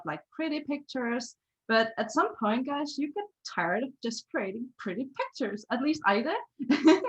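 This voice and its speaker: A woman's voice: mid-range voice, a bit bright. A woman with a Danish accent giving a chatty, upbeat webinar presentation over a video call, laughing often. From Denmark. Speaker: guest artist presenter.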